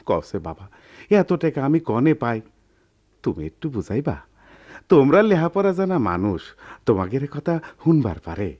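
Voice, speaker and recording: A poor old village uncle in a radio play. The voice swings widely up and down around a middle pitch, folksy and pleading. The accent is rural eastern Bengali from Bangladesh, the temper worried and deferential. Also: male voice, sing-song, a little slow, pauses often, darkish tone. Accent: rural eastern Bengali dialect, Bangladesh. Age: older. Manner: plaintive, folksy. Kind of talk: Bengali radio drama acting.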